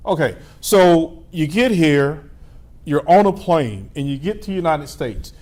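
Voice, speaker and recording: A middle-aged man hosting a local TV interview show, asking relaxed, friendly questions and filling pauses with "uh" and "um". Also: a little high. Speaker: TV show host.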